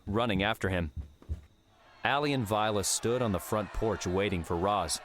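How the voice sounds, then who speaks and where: Low-pitched male audiobook narrator. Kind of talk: scripted story narration.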